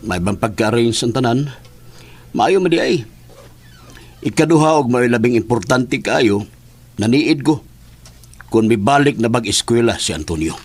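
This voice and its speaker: A man's voice: mid-range voice, lively intonation, normal speed, pauses often, slightly rough. An actor playing a watchful, chatty man in an audio drama. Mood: businesslike. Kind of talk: audio drama dialogue in Cebuano.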